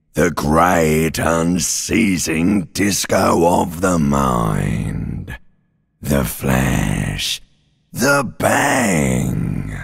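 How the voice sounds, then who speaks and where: An actor playing an ancient reptilian brain in a video game, a sinister, mocking inner voice with a slow, theatrical, rasping drawl. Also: male voice, exaggerated voice. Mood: sardonic. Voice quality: very deep, gravelly, rasping growl. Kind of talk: dramatic video game character monologue. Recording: long cavernous reverb.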